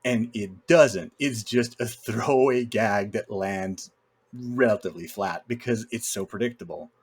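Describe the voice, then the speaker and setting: A man's voice: lowish voice, measured pace, breathy edge. A man giving a casual, opinionated film critique, arguing his points emphatically. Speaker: film podcast co-host or guest.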